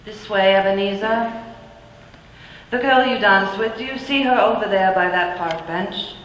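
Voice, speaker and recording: An actor playing a solemn ghost in a radio drama, speaking slowly and gravely. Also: medium pitch, a bit bright, lightly acted. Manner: slow, grave and measured. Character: solemn ghost guiding through the past. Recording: long ghostly reverb.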